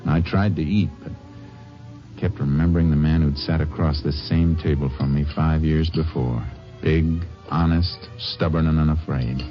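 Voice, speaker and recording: Texas Ranger lead, American, very low voice, courteous and understated, vintage radio drama on muffled recording. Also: male voice, steady tone, soft background music. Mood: grave narration. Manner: measured, polite, understated. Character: steady, courteous male Texas Ranger investigator. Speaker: lead actor and first-person narrator. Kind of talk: 1950s radio drama acting.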